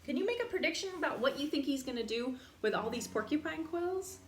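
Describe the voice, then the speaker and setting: A woman reading a picture book aloud to young children in an expressive, teacherly way, indoors. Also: high-pitched, fairly quick. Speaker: children's story reader, teacher. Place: indoor room. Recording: roomy echo, clear background noise.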